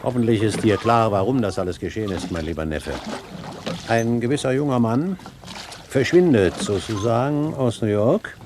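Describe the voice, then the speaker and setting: An actor playing an elderly pirate captain, ironically polite and commanding, in a German radio play. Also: male voice, lowish voice, darkish tone, a little hiss or hum, lightly acted. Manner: suave, ironically formal, commanding.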